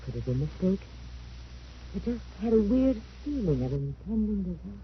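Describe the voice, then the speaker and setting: A brooding mother full of dread in a vintage radio drama. Her delivery is dramatic and foreboding, with lively pitch swings. The recording sounds dull and muffled. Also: moderate pace, breathy edge. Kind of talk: old-time radio drama acting.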